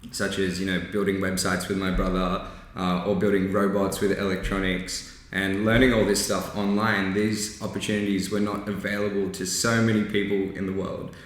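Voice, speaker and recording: A man's voice: low-pitched, little pitch movement, moderate pace. A young man born in Bangladesh and raised in Australia, a startup co-founder chatting easily in an interview, often laughing. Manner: easygoing, laughs often, frequent um and like. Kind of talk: casual interview chat. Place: co-working space. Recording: very echoey room.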